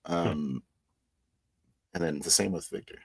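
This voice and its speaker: A man with a very low voice and a husky grain speaks in casual, slangy chat. He is effusive and loose in his phrasing. The sound is dry, with little room in it. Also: measured pace, no echo. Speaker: tabletop roleplay player. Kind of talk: casual post-game chat.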